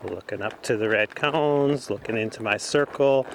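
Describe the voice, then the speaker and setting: A man's voice: lowish voice, normal speed, rough recording. A man who trains horses, giving instructional commentary in short, broken phrases as he rides outdoors. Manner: talking in short, broken phrases while riding. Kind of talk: instructional commentary while riding. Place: outdoors in an open riding arena. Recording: faint outdoor noise.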